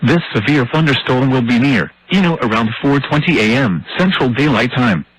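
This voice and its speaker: A synthetic male voice reading formal emergency weather warnings in a measured, impersonal way over a radio broadcast. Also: mid-range voice, animated, slightly rough, no echo, rough recording. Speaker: automated weather radio announcer. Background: radio static and hiss.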